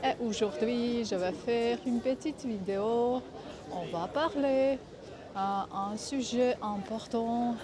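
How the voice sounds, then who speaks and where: A woman speaking French that is not her native language, giving casual advice in a vlog, halting often to search for words. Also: on the high side, crowd noise. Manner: halting, many filler pauses, searching for words. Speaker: vlogger.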